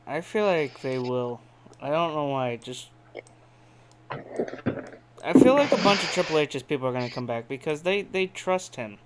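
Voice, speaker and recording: A man's voice: a little high, animated, a little slow, pauses often, noisy background. A man co-hosting a podcast, bantering casually in a blunt, sweary way. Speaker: podcast co-host.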